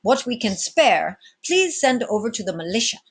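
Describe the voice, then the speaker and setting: A woman's voice: a little high, very animated, breathy edge, voice pushed up, full character voice. Woman voicing a commanding, wry sea captain. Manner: brisk, formal, commanding.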